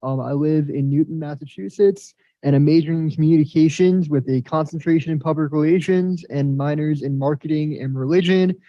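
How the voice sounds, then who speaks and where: A man's voice: on the high side, fairly quick, warm tone, dry recording, dull audio. A young man, a college student, gives a slightly hesitant thank-you speech at a convention, with a few ums. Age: young adult. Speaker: scholarship winner, student.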